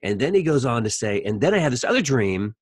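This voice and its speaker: A man's voice: mid-range voice, very animated, fast, husky, dry recording, clean studio sound. A man teaching a Bible story in an informal, conversational way, thinking aloud as he comments on it. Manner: conversational, thinking aloud, acting out imagined dialogue.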